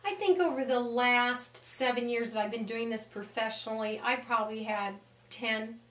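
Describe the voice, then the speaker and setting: Woman, high-pitched voice, conversational interviewee, animated storyteller, echoey room recording. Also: moderate pace. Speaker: animal communicator being interviewed.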